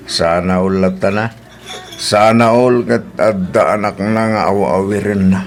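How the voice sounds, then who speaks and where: A man acting a troubled husband in an Ilocano radio drama. His voice is low, with an Ilocano accent, and he carries a weary air. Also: fairly even intonation, slow pace. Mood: tipsy banter. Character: Oliver, troubled husband, weary and later tipsy.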